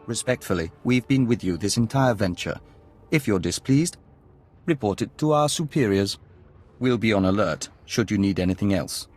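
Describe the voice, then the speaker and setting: An actor playing a serene, courteous envoy of an alien insect hive in a video game, speaking formally and in measured phrases as a collective "we". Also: male voice, on the low side, lively intonation, darkish tone. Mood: respectful, measured.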